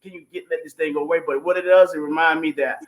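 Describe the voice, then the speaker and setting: High-voiced man giving informal, devout testimony. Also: fairly even intonation, fast talker. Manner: informal, emphatic, devout, punctuated with 'you know what I'm saying'. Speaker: stage play producer and guest. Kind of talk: testimonial talk on an online show.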